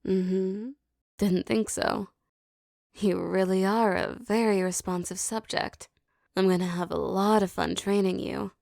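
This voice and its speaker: A woman speaks slowly and softly in a commanding, teasing tone, her voice carrying a gravelly fry. The recording is close and dry, with no room sound at all. Her words come unhurried and deliberate. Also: mid-range voice, flat delivery, no room sound. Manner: slow, soft, commanding and teasing. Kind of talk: scripted hypnosis roleplay audio.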